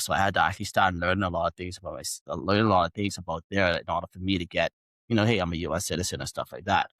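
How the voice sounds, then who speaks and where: Young Bahamian man, guest storyteller, low-ish tone, dry close recording. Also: fairly bright tone, no echo. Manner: earnest, long rambling sentences with casual fillers. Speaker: podcast guest, trader. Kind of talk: casual interview storytelling.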